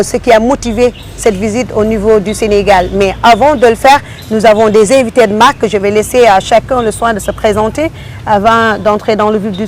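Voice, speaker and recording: A woman's voice: a little high, darkish tone, slight background noise. A woman hosting a panel interview, talking with frequent hesitations and fillers. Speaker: radio show host.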